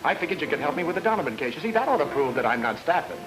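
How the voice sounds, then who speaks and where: A man acting a cool-headed, hard-boiled investigator in an old time radio noir drama, brisk and questioning. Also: on the high side, very animated, rough recording. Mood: probing, firm. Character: cool-headed male investigator, talking his way out of danger. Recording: old radio recording, music underneath.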